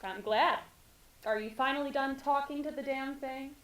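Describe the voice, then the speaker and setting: A woman's voice: sits high, moderate pace, roomy sound, far from the mic, natural voice. A woman playing a harsh, scornful foster mother in a screenplay table read. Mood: cold, irritated.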